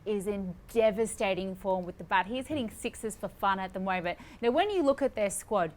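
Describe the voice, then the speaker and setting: A woman's voice: medium pitch, expressive, brisk. A woman hosting a sports panel discussion, putting questions to her guests. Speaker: host of a cricket discussion show.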